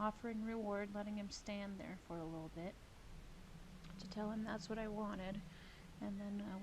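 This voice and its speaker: A woman narrating a video of her horse's training in a relaxed, halting way with many fillers, sniffling a little. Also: medium pitch, vocal fry, low-quality recording. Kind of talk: casual voice-over commentary.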